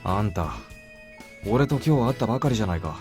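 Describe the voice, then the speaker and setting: An actor voicing a blunt, unsociable young male soldier with a curt, gruff manner in a Japanese visual novel. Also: on the low side, lively intonation, very fast, lightly acted. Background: music.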